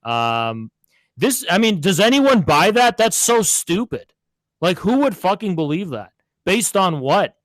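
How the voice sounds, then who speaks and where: A man's voice: sits high, very animated, measured pace, no room sound, low-quality recording. An American man arguing in a heated live political debate, scornful and insistent, often pushing over the other speaker. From United States. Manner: combative, scornful, insistent, interrupting. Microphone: online voice chat mic.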